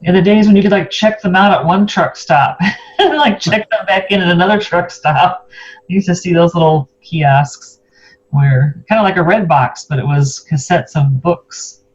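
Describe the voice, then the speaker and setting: A woman's voice: lowish voice, animated, moderate pace, slightly rough. A woman hosting a casual interview, chatting in an informal, musing way. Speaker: host, interviewer. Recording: dull lo-fi sound.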